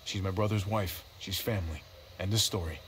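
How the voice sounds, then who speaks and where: An actor playing a tough, protective, guarded survivor leader in a video game, terse and blunt, with an American accent. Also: male voice, low voice, fairly quick, slight background noise, lightly acted. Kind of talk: naturalistic video game drama acting. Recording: loud music over the voice.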